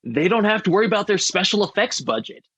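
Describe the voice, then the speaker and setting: A man's voice: high-pitched, big pitch swings, fast talker, fairly bright tone, husky, no echo. A man in a casual podcast discussion, talking as one of the panel.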